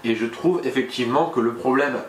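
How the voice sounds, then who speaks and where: A man co-hosting a casual chat over a cocktail, animated, laughing often and repeating words. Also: medium pitch, fast. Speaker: co-host. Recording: echoey room, faint noise.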